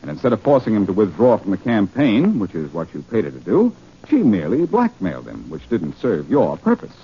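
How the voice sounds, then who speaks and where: Cocky wry radio detective hero, 1940s style, very lively delivery, noisy old recording. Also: male voice, on the low side, brisk, muffled recording. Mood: taunting, accusing. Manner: wry, teasing. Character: wry, confident amateur sleuth hero, adult man.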